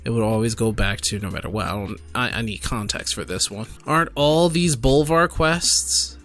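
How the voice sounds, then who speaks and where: A man's voice: on the low side, normal speed, fairly bright tone, soft background music. A tipsy man giving casual, rambling reaction commentary as a streamer, exclaiming and addressing viewers directly. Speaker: streamer and gaming video host.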